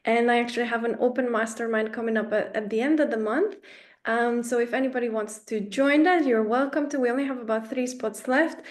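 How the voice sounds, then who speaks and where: A woman's voice: fairly high pitch, fairly even intonation, fairly quick. Chatty young woman from Romania. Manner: chatty, self-effacing, frequent fillers and restarts. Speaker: workshop facilitator. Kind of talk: online workshop talk.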